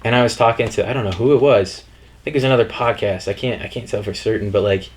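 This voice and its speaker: A man's voice: lowish voice, quick pace, slight background noise. A young man hosting a podcast, chatting casually and enthusiastically, often saying "dude" and "bro". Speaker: podcast host. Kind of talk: casual chat.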